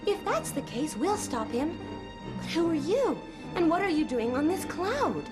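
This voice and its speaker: A woman voicing a calm, authoritative cloud scientist creature in a cartoon. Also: very high voice, measured pace, music in the background, heavily acted. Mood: resolute. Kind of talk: cartoon voice acting.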